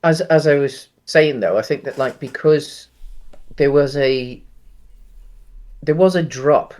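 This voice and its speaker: A man's voice: fairly high pitch, takes it slowly, a little mellow. A man co-hosting a casual film discussion, talking in an explanatory, hedging way. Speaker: podcast co-host.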